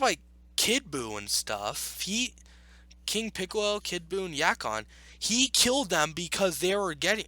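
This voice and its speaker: A man hosting a video, reading aloud and arguing back with blunt, opinionated off-the-cuff commentary in a halting, self-correcting way. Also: sits high, bright, forceful tone, no echo. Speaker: video host reacting to an article.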